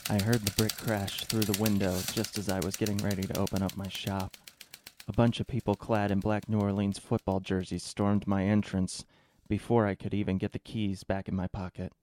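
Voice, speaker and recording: A man's voice: lowish voice, little pitch movement, moderate pace, darkish tone, slight background noise, lightly acted. A man playing an ordinary, beleaguered restaurant owner in a radio drama. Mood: shaken.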